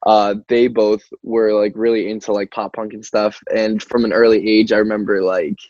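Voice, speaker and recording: A man's voice: on the low side, little pitch movement, moderate pace, a little mellow. A young American man who is a band singer chats casually as an interview guest, rambling with lots of filler words and easy laughter. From Albany, New York, United States. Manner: rambling, peppered with "like" and "you know", laughs easily. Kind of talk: casual chat. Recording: muffled, roomy call-like audio.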